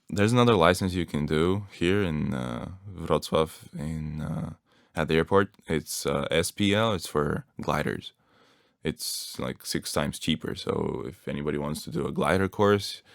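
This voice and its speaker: Very deep gravelly young man. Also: measured pace, no room sound, studio quality. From Bulgaria. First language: Bulgarian. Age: young adult. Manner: relaxed, laughs often, restarts phrases. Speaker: guest. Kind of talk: casual podcast chat.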